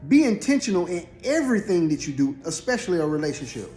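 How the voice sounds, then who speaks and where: A man's voice: high voice, big pitch swings, fast, a little mellow, faint music behind. An American man co-hosting a casual advice talk, telling motivational analogies with emphatic, repeated phrasing. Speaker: co-host giving marriage advice. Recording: roomy echo.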